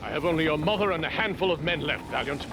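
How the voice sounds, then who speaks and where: Embattled middle-aged cartoon king, stern, gravelly, formal oratory, background noise. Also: fairly high pitch, animated, fast. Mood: grave, resigned. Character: proud, embattled exiled king and weary father. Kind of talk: animated adventure series acting.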